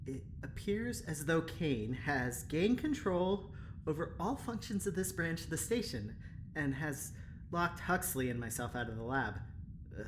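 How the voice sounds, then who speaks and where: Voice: mid-range voice, expressive, a little slow, background noise, natural voice. An actor playing an anxious, earnest scientist in a sci-fi audio drama, speaking with hesitation and care. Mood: worried. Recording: echoing voice with music behind.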